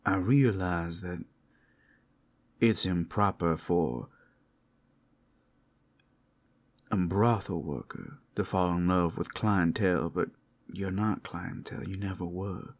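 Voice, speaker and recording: A man playing a tender, protective werewolf lover in an intimate ASMR roleplay, speaking in halting, heartfelt phrases full of endearments. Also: deep voice, fairly even intonation. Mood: tender, earnest. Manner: soft endearments, halting heartfelt delivery, teasing asides. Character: tender, devoted, protective male werewolf lover working at a brothel.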